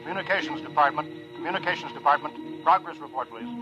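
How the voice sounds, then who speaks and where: An actor playing a wary, authoritative starship captain in a 1950s radio drama, giving clipped orders. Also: male voice, fairly high pitch, quick pace, lightly acted. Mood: stern, commanding. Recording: dull vintage radio recording, music behind.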